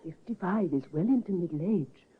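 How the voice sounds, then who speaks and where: A woman's voice: lowish voice, lively intonation, moderate pace, husky. An actress playing an earnest, hesitant invalid daughter in her fifties in a 1950s radio drama. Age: 50s. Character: earnest, frail middle-aged invalid daughter. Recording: tinny restored old radio broadcast.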